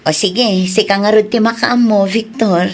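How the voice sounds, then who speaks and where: A woman's voice: medium pitch, fairly even intonation, measured pace. An actress with an Ilocano accent playing a devoted, grateful young wife and mother in a radio drama, in everyday dramatic speech. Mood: practical.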